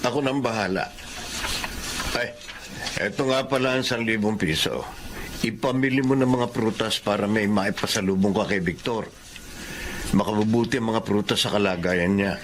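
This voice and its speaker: A gentle, reassuring middle-aged husband with a fairly low voice, as a man acts him out. Clear background noise sits behind him. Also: normal speed, long pauses, lightly acted. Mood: caring. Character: kind, considerate husband, a doctor.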